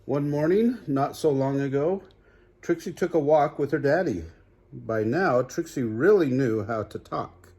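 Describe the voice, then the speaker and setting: An older man reads a children's story aloud in a grandfatherly way, pausing to put chatty questions to young listeners. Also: medium pitch, lively intonation, a little mellow, husky.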